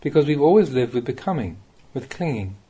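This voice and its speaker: A man reading a Buddhist Dhamma talk aloud as a monk, in the measured, plain-spoken way of a teacher, with rhetorical emphasis. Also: mid-range voice, sing-song, dark tone, breathy edge.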